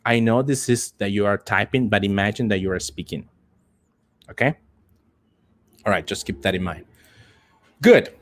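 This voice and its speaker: English teacher, man repeating phrases deliberately. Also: fairly low pitch. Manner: repeats phrases, slow deliberate emphasis on example sentences. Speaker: English teacher for call center trainees. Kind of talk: live online lesson, prompting students.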